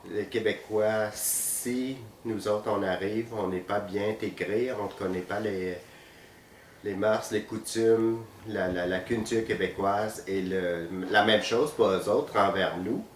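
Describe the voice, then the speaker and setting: Slow, deep-voiced man, hesitant, distant mic. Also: fairly even intonation. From Vietnam. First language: Vietnamese. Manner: hesitant, many pauses with "euh". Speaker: interviewee. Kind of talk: interview answers. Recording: roomy, distant, faint noise.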